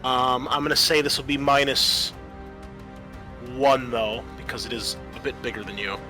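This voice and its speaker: A man with a bright, forward voice runs a tabletop roleplaying game. He narrates descriptively and addresses his players directly, at an average pitch, with faint music playing behind him. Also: moderate pace. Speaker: game master.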